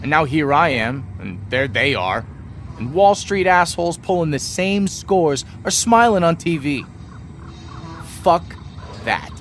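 Cynical con man, animated delivery. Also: on the high side, measured pace, a little hiss or hum, heavily acted. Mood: bitter, defiant. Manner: dry, sardonic, profane. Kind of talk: video game dialogue acting.

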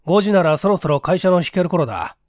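A man voicing a sensible, teasing senior clerk in a story reading, measured and slightly authoritative. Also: high voice, lively intonation, a little mellow, no room sound, clean studio sound. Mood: musing.

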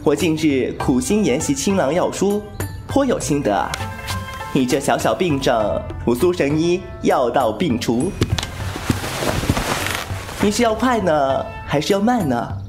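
A man's voice: on the high side, animated, measured pace, music in the background. A man playing a cheeky, boastful young rogue healer in a Chinese wuxia audio drama, playful and theatrical. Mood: teasing, boastful.

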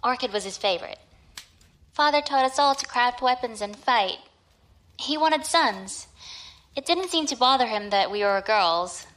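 A woman's voice: on the high side, lively intonation, a little slow, fairly bright tone. A woman playing a sweet, earnest young swordsmith's daughter in an audio drama, given to exclamations. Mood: earnest, apologetic.

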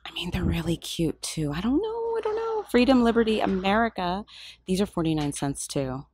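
A woman filming a shop-with-me vlog in a craft store aisle on a handheld phone, excited and gushing, often dropping to a whisper. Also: mid-range voice, normal speed, husky, dry recording. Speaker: shopping vlogger.

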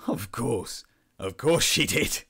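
A man's voice: fairly low pitch, expressive, moderate pace, slightly rough. A man voicing a defiant, sullen soldier held prisoner in an audiobook story, insolent and snarling. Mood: bitter, despairing. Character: defiant, foul-mouthed Australian male prisoner, sullen soldier.

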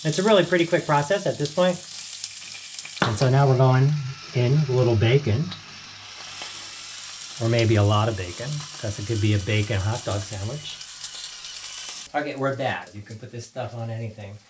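A man's voice: medium pitch, moderate pace, long pauses. A man in his sixties hosting a casual home cooking vlog, chatting and correcting himself as he narrates each step in a small kitchen. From United States. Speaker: home cooking show host. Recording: noisy home kitchen with frying sizzle. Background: frying and sizzling.

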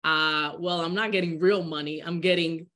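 A woman's voice: on the low side, fairly even intonation, normal speed, fairly bright tone. Young woman, from the Dominican Republic, earnest, candid interview talk, restarts words, video call. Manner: earnest, restarts and repeats words as she thinks. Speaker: guest, social entrepreneur. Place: home living room.

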